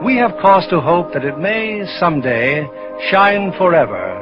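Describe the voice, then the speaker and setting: Higher-pitched man, formal vintage radio host. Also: very animated, a little slow. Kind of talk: formal scripted 1940s radio address. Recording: dull old radio recording, music behind. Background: music.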